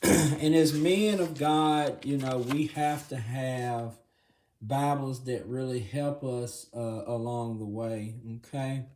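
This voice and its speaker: A man hosting a casual Bible review video, his voice raspy and hoarse, often clearing his throat, and checking in with the viewer again and again. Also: medium pitch, slow pace, slight background noise. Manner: relaxed, filler-heavy, says "okay?" often. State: hoarse from congestion. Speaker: channel host reviewing a Bible.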